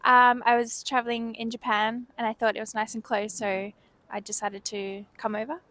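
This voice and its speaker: A woman's voice: on the high side, level tone, moderate pace, a bit bright. A woman in her twenties from Australia chatting through her answers in a street interview. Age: 20s. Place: outdoors on a street.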